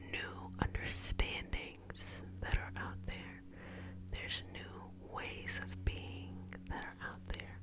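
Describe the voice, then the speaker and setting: A woman guiding an ASMR energy-healing meditation in a soothing, repetitive, gently encouraging manner. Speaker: ASMR reiki healer guiding a session.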